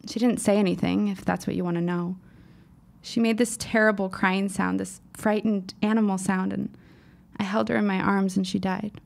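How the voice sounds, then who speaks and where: A woman's voice: mid-range voice, steady tone, moderate pace, vocal fry, natural voice. An actress playing a precocious fifteen-year-old girl in an audio drama, speaking in formal, dramatic phrases. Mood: shaken, grave. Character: precocious, earnest fifteen-year-old girl writer, shaken but insistent.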